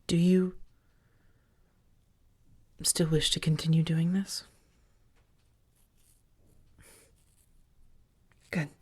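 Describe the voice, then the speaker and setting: Female vampire roommate, played by a woman, ASMR roleplay: low, husky, soft-spoken, affectionate and protective, with wry elegance. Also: heavily acted. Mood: serious, hesitant. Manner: slow, tender, gently theatrical, breathy murmurs.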